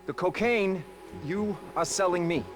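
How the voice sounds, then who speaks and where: An actor playing an over-friendly, nervous drug buyer in a video game, hesitant and stilted. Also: male voice, sits high, measured pace, noisy background. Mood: stilted, probing. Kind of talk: video game cutscene acting.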